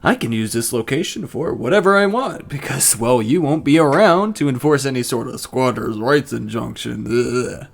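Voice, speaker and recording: A man's voice: mid-range voice, animated, moderate pace, vocal fry, hardly any pauses. A man playing a sadistic, unhinged killer in an audio drama, theatrical and explosive, swinging from gloating to shrieking. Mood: gloating, menacing.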